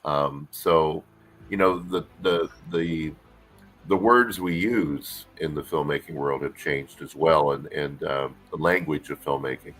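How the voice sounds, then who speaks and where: A middle-aged man, an actor guest with a deep voice, talking casually in an interview with lots of fillers and restarts. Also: a little slow. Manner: relaxed, rambling, many fillers and restarts.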